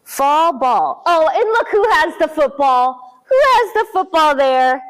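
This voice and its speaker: A woman with a high, very lively voice reads a picture book slowly to little children. She stops often to ask them questions, drawing them into the story. Her pitch swings widely from line to line. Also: rough recording. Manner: slow, animated, speaking to young children with questions. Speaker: children's librarian reading aloud.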